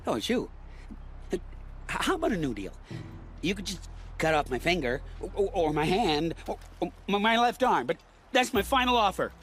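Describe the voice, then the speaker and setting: An actor playing a cocky but cowardly bandit swindler in a video game, with a theatrical, blustering manner. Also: male voice, sits high, sing-song, normal speed, a bit bright, slight background noise. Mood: nervous bargaining. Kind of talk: cinematic game dialogue.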